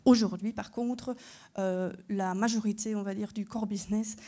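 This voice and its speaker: A woman's voice: mid-range voice, fairly even intonation, normal speed, mellow. A woman from Belgium whose first language is German, a constitutional court judge giving a structured conference lecture and putting rhetorical questions to her audience. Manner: structured explanatory delivery, poses rhetorical questions.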